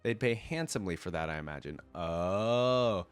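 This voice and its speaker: Congested low-voiced man reading and commenting. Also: animated, moderate pace, clean tone. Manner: rambling, thinking aloud in asides. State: congested from a cold. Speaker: reaction video host. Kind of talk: reading a story aloud with casual commentary.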